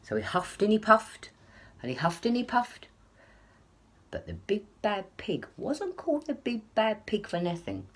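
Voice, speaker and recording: A woman's voice: medium pitch, huge pitch swings, unhurried, husky. A woman reading a children's storybook aloud in an emphatic, dramatic way. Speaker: story reader.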